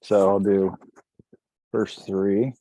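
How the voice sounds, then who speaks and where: A man's voice: deep voice, flat delivery, very slow, mellow, no echo, rough recording. A man chatting casually as a player in a tabletop role-playing game.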